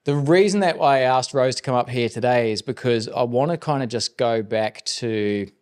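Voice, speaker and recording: An upbeat man hosts a business podcast at a mid-range pitch. He sounds encouraging and loose, with frequent ums and rephrased questions. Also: moderate pace, a little mellow, clear, resonant tone. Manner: upbeat, encouraging, filler-heavy. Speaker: podcast host and business coach. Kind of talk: podcast interviewing.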